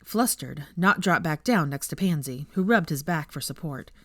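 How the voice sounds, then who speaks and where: Lower-pitched, expressive woman storyteller. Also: normal speed, no echo, clean studio sound. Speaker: fan fiction narrator. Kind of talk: expressive scripted story narration.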